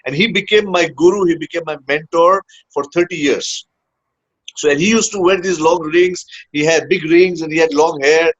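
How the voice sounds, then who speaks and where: A man's voice: fairly high pitch, normal speed. A man from India, a leadership coach telling his story in a casual interview, full of fillers and "huh?" tags. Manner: rambling, many "uh" fillers and tag "huh?". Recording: dull lo-fi audio.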